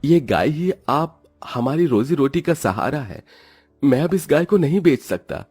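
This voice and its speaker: A man voicing a poor, kind village milkman and father in a cartoon story, speaking gently and humbly. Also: fairly high pitch, big pitch swings, measured pace, breathy edge, pitched above the performer's own voice, exaggerated voice.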